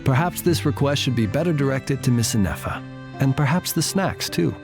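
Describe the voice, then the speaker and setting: A man voicing a courteous, formal, smooth-talking gentleman in a video game. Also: mid-range voice, expressive, brisk, over music, natural voice.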